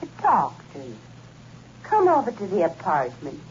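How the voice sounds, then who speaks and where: An actress playing the seductive ghost of a murdered woman in a 1960s radio drama, speaking softly and teasingly. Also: fairly low pitch, huge pitch swings, normal speed. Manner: soft, teasing and coaxing. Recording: dull, hissy old radio recording.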